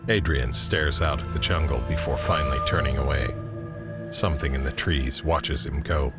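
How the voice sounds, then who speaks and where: A man narrating scene directions in a scripted audio drama, grave and measured. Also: a bass voice, fairly even intonation, loud music behind the voice. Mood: measured, suspenseful.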